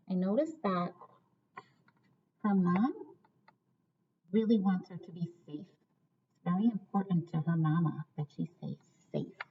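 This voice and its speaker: A woman reads a children's story aloud as a teacher would, putting questions to her young listeners. Her pitch sits in the middle range for a woman. The recording is a little dull and lo-fi. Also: slight background noise. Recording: dull, lo-fi sound.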